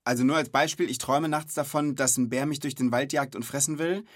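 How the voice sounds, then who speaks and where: A man's voice: mid-range voice, steady tone, fairly quick, no room sound, clean studio sound. A man hosting a children's podcast, asking questions in an informal, chatty, conversational way. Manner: informal, chatty, reacts with short interjections.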